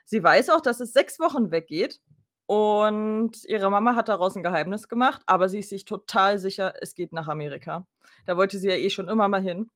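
Woman doing casual podcast recap, medium pitch, really dry sound no room. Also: brisk, no room sound. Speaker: podcast co-host.

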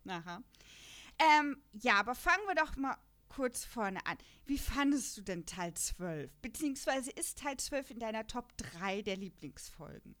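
Chatty young female co-host, giggly and relaxed, pitched a little high. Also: measured pace. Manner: chatty, laughs often, many fillers. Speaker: podcast co-host. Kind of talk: casual fan podcast chat.

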